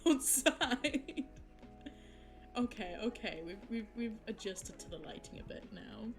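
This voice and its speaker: A young woman streamer chatting casually with viewers, giggling and teasing herself, her voice a little low for a woman and her throat sore. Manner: chatty, giggly, self-mocking. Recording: music playing behind the voice.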